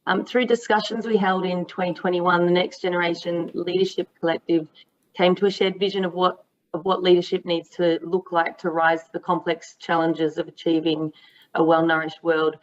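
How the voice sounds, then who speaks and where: An Australian woman panelist reading her part on a dull-sounding conference call, her low voice staying very even. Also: normal speed. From regional Victoria, Australia. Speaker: task team member. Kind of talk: prepared presentation at an online event. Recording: dull, band-limited online call audio.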